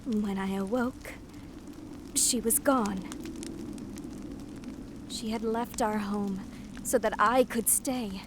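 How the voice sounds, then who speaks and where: A woman playing a wistful wandering lute player of humble birth in a video game, telling her story in a theatrical, measured way. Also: medium pitch, fairly even intonation, background noise, lightly acted.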